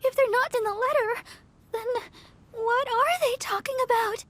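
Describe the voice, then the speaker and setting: A woman's voice: very high voice, normal speed, a little hiss or hum. A woman voicing an anxious, sweet teenage girl in a video game, hesitant and earnest. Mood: anxious, panicked. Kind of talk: English video game voice acting. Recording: loud music behind the voice.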